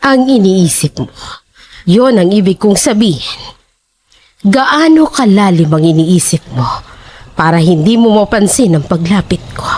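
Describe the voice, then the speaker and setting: A woman playing a nosy, menacing landlady in a Tagalog horror audio drama, speaking in a deliberate, taunting way. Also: medium pitch, expressive, darkish tone, breathy edge. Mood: teasing, probing. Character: nosy, menacing landlady probing tenants' dark secrets.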